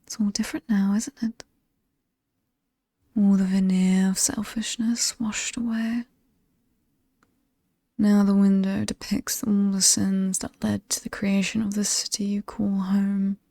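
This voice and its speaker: A woman with a British accent playing an alluring, sinister ageless demon in a horror ASMR roleplay, breathy and half-whispered, teasing and lingering over her words. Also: mid-range voice, flat delivery, unhurried, long pauses, warm tone, dry recording, studio quality. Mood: sly, sinister. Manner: seductive, teasing, theatrically ominous, lingering on words.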